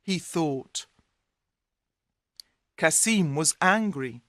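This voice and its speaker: A woman's voice: low-pitched, expressive, extremely slow, a bit bright, dry recording, clean studio sound. A woman narrating a scripted fairy tale for language learners, in careful, separated phrases. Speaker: storyteller.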